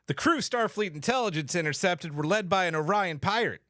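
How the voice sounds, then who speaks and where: A man plays a self-important officer from a sci-fi cartoon. His voice is notably high and swings expressively, in a dry recording of a table read. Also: expressive, a little fast, sharp tone, no room sound. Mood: commanding. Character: self-important male commander, easily cowed. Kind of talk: amateur table read of animated sci-fi comedy script.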